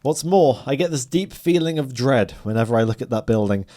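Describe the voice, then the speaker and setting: A man's voice: mid-range voice, expressive, brisk, dark tone. A man reading a visual novel aloud on stream, breaking off into wry, joking banter. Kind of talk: live playthrough narration with casual banter. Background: music.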